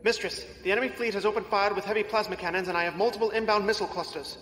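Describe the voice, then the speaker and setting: A man's voice: high-pitched, fairly even intonation, racing, a bit bright. A man playing a dutiful, formal shipboard aide in an audio drama, reporting with precision. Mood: alert, dutiful. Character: dutiful, formal male aide serving his mistress. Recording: echoey reverb, faint noise.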